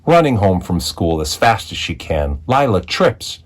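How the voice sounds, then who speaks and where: Male storyteller, fairly low pitch, animated intonation, expressive picture book read-aloud, deliberate phrasing.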